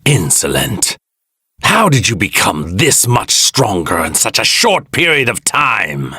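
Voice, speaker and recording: Arrogant villain god, anime game style, grandiose, slow deliberate delivery, gravelly creak, menacing. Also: male voice, on the low side, wildly swooping pitch, sharp tone, dry recording, clean studio sound, heavily acted. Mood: haughty contempt. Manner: pompous, theatrical, grandiose, menacing. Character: arrogant evil god in a stolen warrior body. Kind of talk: anime video game voice lines.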